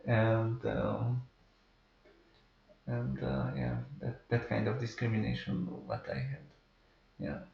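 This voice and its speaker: A man, hesitantly telling his own story as an artist in an interview, pausing and restarting often. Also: mid-range voice, level tone, vocal fry. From Hungary. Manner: hesitant, many pauses and restarts. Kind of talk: halting personal account. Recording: roomy, dull-sounding recording.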